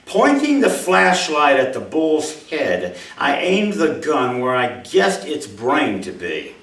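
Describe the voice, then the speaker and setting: A man, a writer speaking to an audience at a live reading in an expressive way. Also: fairly high pitch, slow pace. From Mobile, Alabama, USA. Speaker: writer reading his own short story. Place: indoor room at a live reading event. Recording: roomy, echoey hall, distant microphone.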